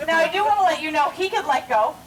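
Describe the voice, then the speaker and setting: High-voiced woman presenting at a zoo. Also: lively intonation, quick pace, recorded from a distance, over a noisy crowd, rough recording. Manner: explanatory, informal, addresses onlookers directly. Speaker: zoo animal show presenter. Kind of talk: live educational presentation to an audience.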